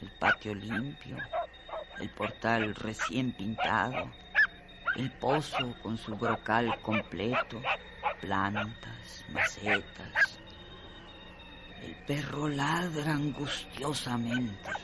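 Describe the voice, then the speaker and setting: A man narrates a radio drama slowly, at a middling pitch. He sets out short, measured phrases dramatically over very loud background noise. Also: fairly even intonation. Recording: strong noise behind the voice.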